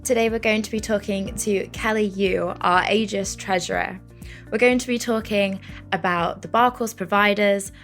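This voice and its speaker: A woman's voice: mid-range voice, fairly bright tone. A young adult woman hosting a podcast, chatting casually and enthusiastically with her guest. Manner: chatty, enthusiastic, many fillers. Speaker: podcast host. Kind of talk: casual interview chat.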